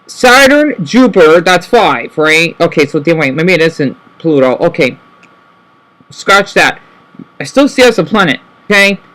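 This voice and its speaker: A man talking to camera in a rambling, self-correcting way, often checking in with "right?" and slowly spelling out words. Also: a little high, lively intonation, fairly bright tone, poor audio. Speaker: vlogger. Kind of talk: rambling casual monologue.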